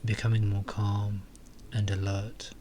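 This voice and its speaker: A man softly leading a guided meditation, calm and unhurried, with long pauses between phrases. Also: on the low side, a little hiss or hum.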